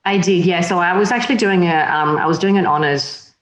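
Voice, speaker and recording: A woman from Slovakia chats as a guest on an interview show, lively and quick to laugh. Her voice sits fairly low for a woman. The room around her is echoey and open. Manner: lively and chatty, laughs often. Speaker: magazine editor, interview guest. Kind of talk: casual interview chat.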